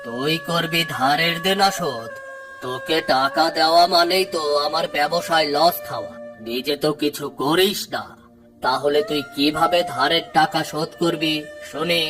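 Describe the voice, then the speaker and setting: A man's voice: on the high side, moderate pace, over music, close to an everyday voice. Man putting on a haughty, stingy village landlord. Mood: mocking. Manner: haughty, scornful, brusque. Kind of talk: Bengali moral-story cartoon dub.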